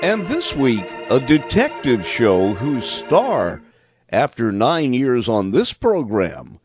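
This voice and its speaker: A man introducing an old radio episode in a slow, deliberate way. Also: mid-range voice, wildly swooping pitch. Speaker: host. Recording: music playing behind.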